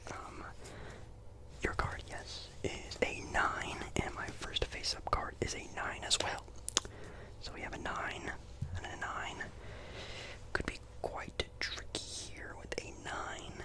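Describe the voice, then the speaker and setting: A man whispering an ASMR roleplay as a friendly, apologetic casino dealer, breathy and chatty, with polite, hesitant reassurance. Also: poor audio.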